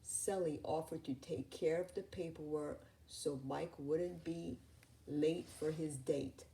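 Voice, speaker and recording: A low-pitched woman narrates a children's picture book at a slow pace. Her delivery is deliberate and a little halting, with faint noise behind her in a home-style recording. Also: steady tone, mellow, poor audio. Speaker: storybook reader. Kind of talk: read-aloud children's story narration.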